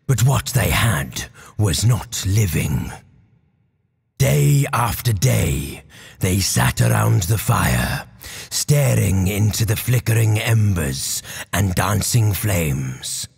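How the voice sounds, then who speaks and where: A man playing a grave, impassioned warrior orator in a theatrical, measured audio drama monologue over music. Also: lowish voice, creaky, husky, full character voice. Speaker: voice actor.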